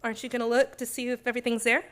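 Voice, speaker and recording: A woman's voice: a little high, level tone, very fast, fairly bright tone, lightly acted. Hard, wary female schemer, played by an actress. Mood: tense, commanding. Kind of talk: suspense radio drama acting with first-person narration.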